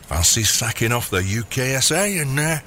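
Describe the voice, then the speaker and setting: Megalomaniac doting father played by a man, bright and wildly animated, wheedling, theatrical, comedy radio play. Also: mid-range voice, normal speed, a little hiss or hum. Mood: scheming. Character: gleeful megalomaniac villain, doting scheming father. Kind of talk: scripted audio comedy drama.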